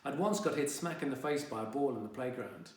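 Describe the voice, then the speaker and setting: A man's voice: medium pitch, lively intonation, fairly quick, distant mic, close to an everyday voice. Sullen teen boy narrator played by a man, wry and indignant, young adult audiobook, very echoey room. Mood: wry, uneasy. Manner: wry, dramatic, exasperated teenage grumbling. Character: sullen, indignant fifteen-year-old boy telling his story. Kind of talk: first-person young adult audiobook reading.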